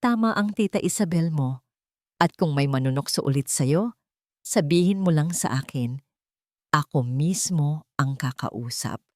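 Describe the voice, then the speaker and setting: A woman voicing a calm but firm, earnest man in a romantic audio story, a protective guardian who speaks politely. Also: deep voice, measured pace, no echo, clean studio sound.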